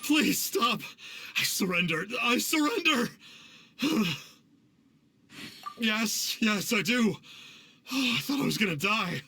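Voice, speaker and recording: A man's voice: sits high, very animated, slow pace, pauses often, pushed and bright, slight background noise, heavily acted. A man playing a pompous, easily cowed fake immortal exorcist in a video game, with theatrical, stilted, archaic speech. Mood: panicked, pleading. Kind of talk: video game character acting. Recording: background music.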